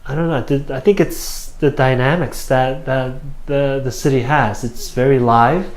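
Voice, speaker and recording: A man in his thirties from South Korea, speaking English with a Korean accent as an interview guest, halting with many fillers and restarts. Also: medium pitch, steady tone. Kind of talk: casual interview answers. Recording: roomy echo.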